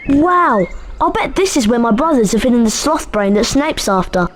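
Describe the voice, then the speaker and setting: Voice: medium pitch, animated, moderate pace, natural voice. A performer playing an eager, cautious, chatty young schoolboy wizard in a video game. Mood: excited curiosity. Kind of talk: video game character acting.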